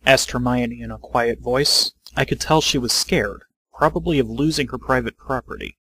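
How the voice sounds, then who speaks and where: A man's voice: medium pitch, normal speed, bright, forceful tone, rough recording. A man reading scripted first-person story narration as the narrator.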